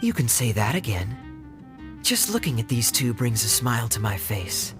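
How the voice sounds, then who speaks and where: An actor playing a sly, teasing young knight in a video game dub, smooth and needling. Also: male voice, mid-range voice, big pitch swings, a little slow. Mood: playful. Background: background game music.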